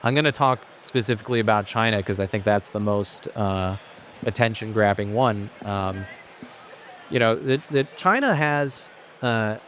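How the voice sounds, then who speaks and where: Low-voiced man talking at length with many restarts. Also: normal speed, fairly bright tone, a little hiss or hum. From Asia. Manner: thoughtful and talkative, with frequent restarts. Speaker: podcast guest, company founder. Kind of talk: casual interview conversation.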